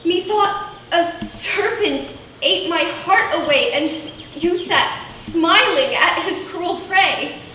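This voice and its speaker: A woman's voice: very high voice, slow pace, sharp tone, heavily acted. A woman playing an earnest young lovelorn maiden in theatrical Shakespearean verse. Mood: frightened. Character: anxious young lovelorn maiden lost in a wood. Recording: very echoey, distant mic, faint noise.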